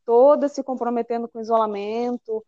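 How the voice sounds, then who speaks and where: A young adult Brazilian woman talking in a casual, expressive and emphatic way about personal things. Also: fairly high pitch, brisk, lo-fi sound, a little hiss or hum.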